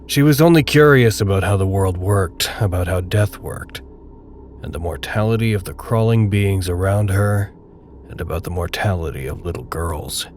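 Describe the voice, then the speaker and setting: A man narrating a dark audio drama in a measured, literary, grave and detached manner. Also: sits low, slight background noise, close to an everyday voice. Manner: measured, literary, solemn storytelling.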